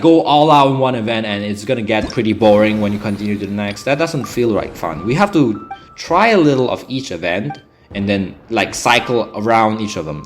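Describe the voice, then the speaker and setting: A young man, chatting casually through gameplay in a rambling way, full of fillers and restarts. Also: fairly low pitch. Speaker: gaming channel host. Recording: game music behind the voice.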